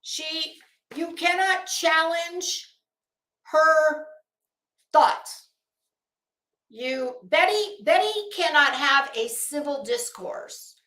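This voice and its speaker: A woman livestreaming casual commentary in a blunt, profane, opinionated way, with halting, emphatic delivery. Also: high-pitched, sharp tone. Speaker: livestream host. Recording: roomy, echoey sound.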